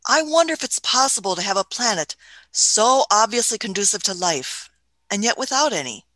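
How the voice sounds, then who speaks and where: Woman as pompous eccentric scientist, radio drama lead. Bright, forceful voice, old-fashioned and bossy manner. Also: medium pitch, sing-song, moderate pace, dry recording. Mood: pondering. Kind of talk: radio play acting.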